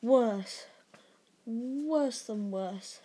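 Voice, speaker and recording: A woman voicing a gruff, kindly giant man from a storybook, speaking in halting, rustic turns of phrase. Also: on the high side, mellow, exaggerated voice. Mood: hesitant, uneasy.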